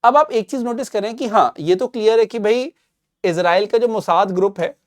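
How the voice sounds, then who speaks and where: A man's voice: high voice, normal speed. Emphatic Indian man explaining the news. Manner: mixes Hindi with English phrases, emphatic, didactic. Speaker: current affairs educator and presenter. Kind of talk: explanatory news commentary for students.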